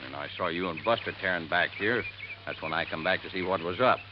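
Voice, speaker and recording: An actor playing a shifty, defensive suspect in a 1950s radio drama, evasive and stammering. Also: male voice, low-pitched, fairly quick, highs cut off, lightly acted. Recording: noisy old radio broadcast.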